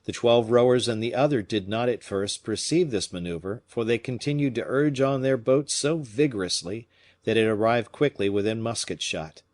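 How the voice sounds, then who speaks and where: A man narrating a classic novel as an audiobook reader, measured and expressive. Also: medium pitch.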